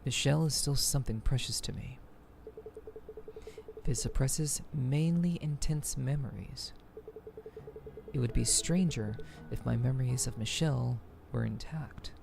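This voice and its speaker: A woman voicing a reserved, weary woman from a visual novel in a measured, subdued, formal manner. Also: a bass voice, vocal fry, background noise, exaggerated voice. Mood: pensive. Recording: music behind the voice.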